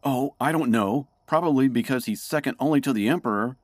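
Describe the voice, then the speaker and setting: An actor playing a wry, cautious fellow prisoner who explains things in a comedic fantasy audio drama. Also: male voice, medium pitch, brisk, dry recording, studio quality, natural voice. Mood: nervous warning.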